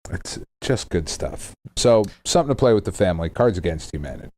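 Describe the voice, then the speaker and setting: Low-voiced American man, rambling casual podcast talk. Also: sing-song, brisk, darkish tone, no room sound. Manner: rambling, jokey, profane. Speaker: podcast co-host. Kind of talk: casual banter.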